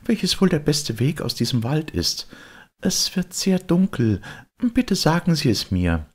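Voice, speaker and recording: Man voicing a high-pitched, polite little girl. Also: sing-song, measured pace, husky, exaggerated voice. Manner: courteous, tentative.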